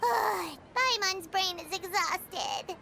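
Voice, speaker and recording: A woman playing a small, excitable, childlike fairy companion in a video game, with a squeaky voice and an exclamatory manner. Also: slow pace, gravelly, exaggerated voice. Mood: frustrated. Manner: refers to self in third person, exclamatory. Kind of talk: video game character acting. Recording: background music throughout.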